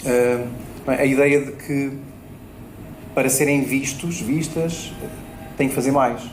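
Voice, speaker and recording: A man's voice: medium pitch, steady tone, measured pace. Hesitant man, European Portuguese accent. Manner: hesitant, many fillers and tag questions. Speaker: debate panelist. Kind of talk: public panel discussion. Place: event space at a bookshop. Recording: roomy hall with faint noise.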